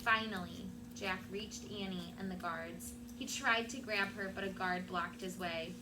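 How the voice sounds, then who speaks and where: A woman teacher doing an expressive storybook read-aloud for her class. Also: mid-range voice, measured pace. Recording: clear background room noise.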